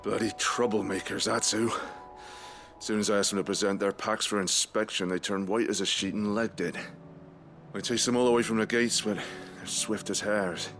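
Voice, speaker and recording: An actor playing a rough, indignant mercenary gate guard, blunt and coarse, in a video game cutscene. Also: male voice, on the low side, steady tone, moderate pace, a bit bright, faint music behind. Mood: indignant, gruff.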